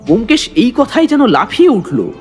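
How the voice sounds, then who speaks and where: A man's voice: very high voice, wildly swooping pitch, moderate pace, exaggerated voice. A man playing a detective's loyal companion, narrating the mystery as a suspenseful, dramatic audio story. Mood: suspenseful narration. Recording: long reverb, music bed.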